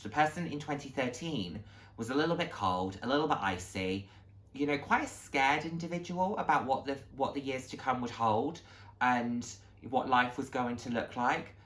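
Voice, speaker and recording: A man's voice: medium pitch, fairly bright tone. A man in his late twenties chatting casually and earnestly to viewers as a vlog host, reflective and encouraging. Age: late 20s. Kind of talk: casual heartfelt talk to viewers.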